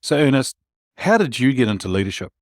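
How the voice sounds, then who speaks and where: A man's voice: mid-range voice, sing-song, a little fast, no room sound, studio quality. A man with an Australian accent hosting a leadership podcast, upbeat, often restating his guest's points. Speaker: podcast host. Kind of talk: interview host chat.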